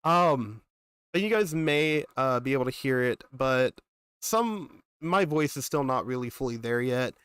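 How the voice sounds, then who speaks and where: A young man streaming games talks in a loose, rambling way and greets people in chat by name. He sounds congested from a cold, yet his voice stays smooth and even. The recording is close and dry, with no room sound. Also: medium pitch, a little slow, no echo. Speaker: gaming streamer. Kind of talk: casual live-stream chat.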